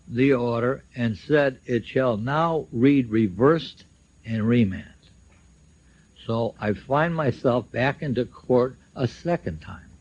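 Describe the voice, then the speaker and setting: Older male speaker, husky and unhurried, emphatic public storytelling on a dull lo-fi radio recording. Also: medium pitch. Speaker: speaker at a symposium, recounting his own legal case. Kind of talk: public speech, personal storytelling.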